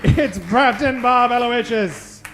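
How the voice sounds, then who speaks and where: A man's voice: very high voice, takes it slowly, a loud crowd. A man hosting a radio theatre show, delivering theatrical scripted introductions in a grandiose, mock-dramatic, declamatory old-time announcer style.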